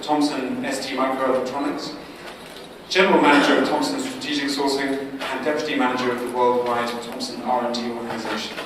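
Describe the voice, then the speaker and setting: A man introducing a keynote speaker at a conference, reading a formal, list-like biography. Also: medium pitch. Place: large conference hall. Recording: echoey hall, distant mic, noisy.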